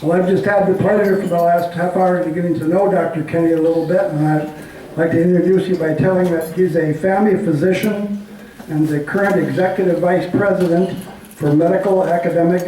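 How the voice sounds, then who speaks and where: An older man introducing the guest speaker, reading from notes in a measured way. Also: sits high, fairly even intonation, dark tone, slight background noise. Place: community hall meeting room. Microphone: handheld mic, far camera. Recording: echoey hall from far off.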